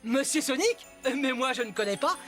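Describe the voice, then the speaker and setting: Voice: sits high, expressive, a little fast. A performer voicing a cocky young male hedgehog hero in a French-dubbed cartoon, breezy and self-assured. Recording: loud music under the voice.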